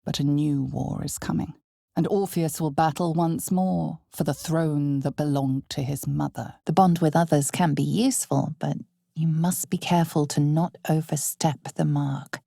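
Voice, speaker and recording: A woman playing an ominous, all-knowing narrator of a dark fantasy video game, speaking in a measured, dramatic way with hushed intensity. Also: sits low, fairly even intonation, creaky, no echo, clean studio sound. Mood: solemn, measured. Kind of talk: scripted second-person fantasy game narration.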